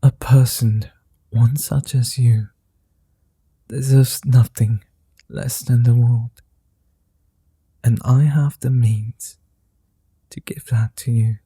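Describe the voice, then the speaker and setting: A man voicing a flirty, tender male vampire in an ASMR roleplay, speaking slowly, softly and formally, often in whispers, close to the mic. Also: medium pitch, fairly even intonation, husky, dry recording. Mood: tender whispering. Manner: slow, soft and often whispered; old-fashioned formal speech.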